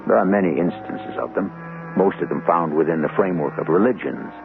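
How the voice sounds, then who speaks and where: A man hosting and narrating a radio mystery, with deliberate, theatrical, rhetorical scripted delivery. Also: low voice, gravelly, background noise. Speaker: host and narrator. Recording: tinny old radio broadcast. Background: music.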